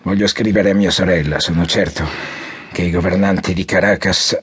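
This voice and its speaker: An actor playing a weary, embittered, ailing general in an Italian audio drama, theatrical, resigned and testily commanding. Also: male voice, low voice, fairly even intonation, moderate pace, slightly rough. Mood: weary, bitter.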